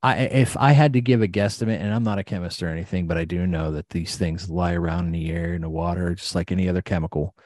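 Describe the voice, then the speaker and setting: A man's voice: low-pitched, fast talker, few pauses, a little mellow, no echo, studio quality. A middle-aged American man giving an impassioned personal account, blunt and emphatic, repeating phrases and swearing. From Ohio, United States.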